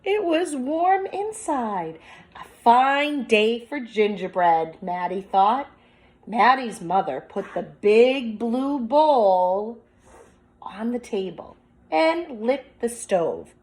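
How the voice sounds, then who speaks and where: A woman's voice: on the high side, lively intonation, takes it slowly, a little mellow. A woman speaking as a teacher, reading a picture book aloud with commentary and prompting young listeners with questions as if to a class. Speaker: teacher demonstrating a children's read-aloud.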